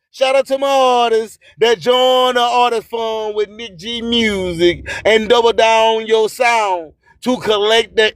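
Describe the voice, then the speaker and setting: A radio host with a very high voice for a man, speaking slowly and emphatically. His delivery is declamatory and clean, built on repeated catchphrases and motivational address. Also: smooth, dry recording, studio quality. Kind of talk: motivational radio monologue with promotional shout-outs.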